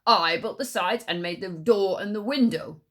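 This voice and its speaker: A woman voicing a large, fierce, possessive tiger in a storybook tale, with slow, emphatic delivery. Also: mid-range voice, close to an everyday voice. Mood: indignant.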